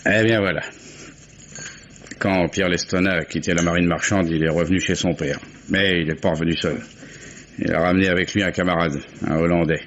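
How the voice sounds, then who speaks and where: An actor playing a gruff, guarded old oyster fisherman in a 1950s radio drama, talking in a folksy, grumbling way. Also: deep voice, steady tone, a little fast, a bit bright. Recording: muffled old radio recording with faint hiss.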